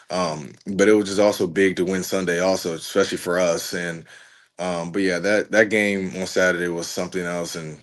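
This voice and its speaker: A young man in his twenties, a college pitcher, talking casually as a podcast guest and filling his answers with "you know". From Richmond, Virginia. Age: 20s. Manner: relaxed, rambling, many "you know" fillers. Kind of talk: casual interview chat.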